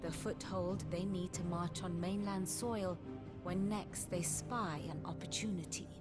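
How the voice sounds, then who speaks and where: An actress playing a composed scholar who explains history in a measured, lecturing way in a video game cutscene. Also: female voice, on the low side, fairly even intonation, lightly acted. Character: learned woman scholar, composed and dryly wry. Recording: loud score music underneath. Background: loud orchestral music.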